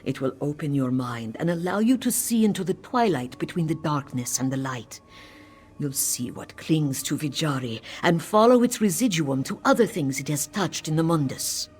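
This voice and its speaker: A man voicing a solemn cat-folk mystic in a video game, giving measured, urgent guidance. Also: a little high, animated, natural voice. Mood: grave urgency. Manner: grave, measured, instructive. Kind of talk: scripted video game quest dialogue.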